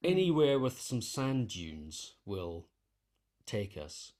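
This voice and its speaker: A man's voice: fairly low pitch, very animated, takes it slowly, darkish tone. A middle-aged man, an interview guest, talking casually in a hesitant, thoughtful, understated way. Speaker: interview guest, composer and conductor. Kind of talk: casual, reflective conversation.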